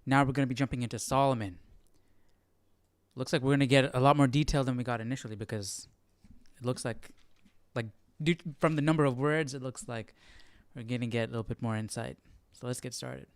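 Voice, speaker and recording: Casual man with a clear, dry voice. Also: medium pitch, fairly even intonation, a little fast, no echo. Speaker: live study host. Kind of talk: casual informal commentary.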